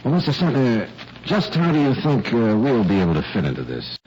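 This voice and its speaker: An actor playing a shrewd, easygoing private detective in a 1940s radio drama, informal and probing. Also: male voice, mid-range voice, wildly swooping pitch, fairly quick, a little mellow. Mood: probing, casual. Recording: muffled, hissy vintage radio recording.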